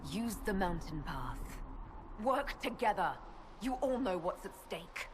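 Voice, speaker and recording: Defiant young elf, direct, animated, game dialogue, noisy ambience. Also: female voice, medium pitch, a little slow. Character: young woman elf prisoner, guarded, plain-spoken, defiant. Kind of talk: video game voice acting.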